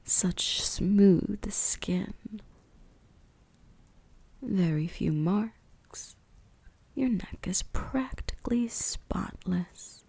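Woman acting a slow, breathy ancient vampire huntress. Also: mid-range voice, lively intonation, mellow, full character voice. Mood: teasing, seductive. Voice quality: breathy, whispery. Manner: slow, teasing, condescending. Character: ancient, predatory, seductive female vampire huntress. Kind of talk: ASMR roleplay, intimate scripted monologue.

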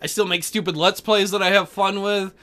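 A woman's voice: mid-range voice, fairly even intonation, brisk, a bit bright. A woman doing casual, goofy game commentary as a let's play host, joking crudely and rambling.

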